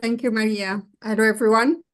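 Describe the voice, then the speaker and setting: A woman's voice: on the high side, steady tone, fairly quick. A woman from Morocco moderating an online webinar, formally reading a prepared introduction over a video call. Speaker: moderator and discussant.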